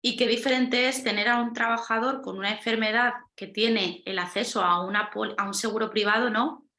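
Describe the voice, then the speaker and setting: A woman's voice: a little high, fairly even intonation, a little fast, bright, forceful tone, echoey room. A woman on a webinar panel explaining her points, often closing them with a questioning tag.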